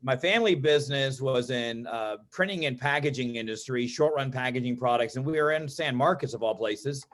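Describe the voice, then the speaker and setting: An American man from Texas tells personal stories as a guest in an online meeting, in an informal, animated way that often acts out dialogue. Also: mid-range voice, brisk, few pauses. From Texas, United States. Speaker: interview guest, real estate agent and coach.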